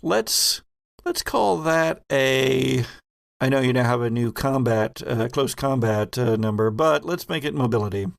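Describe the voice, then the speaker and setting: A man narrating a tabletop horror game as game master, in halting, suspenseful phrases. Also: mid-range voice, lively intonation, gravelly.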